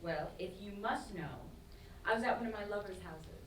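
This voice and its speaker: A woman's voice: mid-range voice, fairly quick, close to an everyday voice. A woman acting a cheeky, dramatic young best friend in a staged play reading, teasing and slangy. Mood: indignant. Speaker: student actor in a staged reading. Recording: roomy, distant stage recording with noise.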